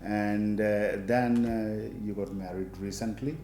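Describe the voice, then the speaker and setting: A male TV host opening and guiding an interview, often pausing on fillers. His low, mellow voice carries room echo, with faint ambience behind it. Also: steady tone, a little hiss or hum. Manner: hesitant, many fillers. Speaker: TV show host. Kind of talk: interview hosting.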